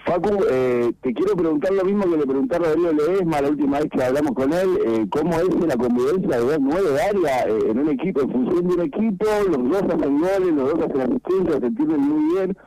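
Argentine sports radio host, warm dark tone, dry studio mic, chatty and rambling. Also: male voice, fairly high pitch, animated, quick pace, hardly any pauses, no room sound, low-quality recording. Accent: Argentine Spanish. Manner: chatty, rambling questions with fillers.

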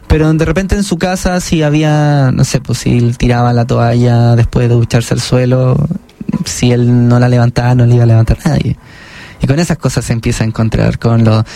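A Chilean man speaks as an author guest in a radio interview, with a voice of middling pitch. He talks thoughtfully and at length, pausing on fillers and often restarting his phrases. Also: dark tone, dry recording. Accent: Chilean Spanish.

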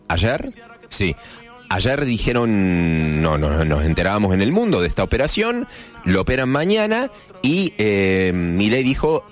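Brief: Argentine male morning radio host, lowish voice, very animated delivery, light music underneath. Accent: Argentine, Córdoba. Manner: chatty, jokey asides, playful mock voices. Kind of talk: casual morning radio chat.

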